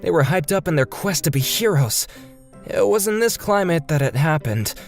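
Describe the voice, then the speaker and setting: Casting: male actor as earnest young cartoon lead narrating his tale, slightly high voice, soft music bed. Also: very animated, moderate pace. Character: earnest young man, wronged but forgiving storyteller. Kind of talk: scripted manga dub narration.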